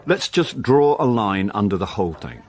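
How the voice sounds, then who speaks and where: A middle-aged British man with a lowish voice. He is rueful and evasive, dryly sardonic and quick to defend himself, in radio drama style. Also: very animated. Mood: irritated. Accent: British English. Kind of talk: radio drama dialogue and dry narration.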